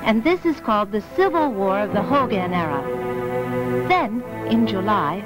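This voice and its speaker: A woman's voice: medium pitch, lively intonation, moderate pace. Female story narrator over music. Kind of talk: scripted historical narration for animated film. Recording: music behind the voice.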